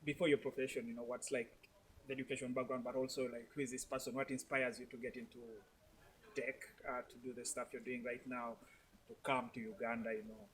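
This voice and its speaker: A man's voice: mid-range voice, level tone, brisk, a bit bright, low-quality recording. A man hosting a podcast who asks loose, rambling questions full of fillers and restarts. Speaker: podcast host. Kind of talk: casual interview questioning.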